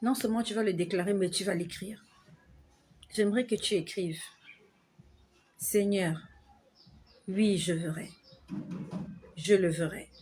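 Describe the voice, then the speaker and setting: A woman speaks straight to her viewers in a slow, earnest exhortation, circling back over the same phrases. Her voice sits at a middle pitch and often drops soft at the end of a line. A faint hum of noise runs under the recording. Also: steady tone. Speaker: online preacher.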